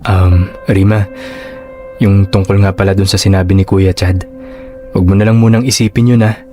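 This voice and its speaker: A man's voice: sits low, flat delivery, moderate pace, dark tone, close to an everyday voice. A man playing an earnest, insecure suitor in a radio drama, speaking hesitantly and pleadingly. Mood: sheepish. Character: earnest, insecure male suitor longing for his sweetheart. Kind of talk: Tagalog radio drama acting. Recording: music under the voice.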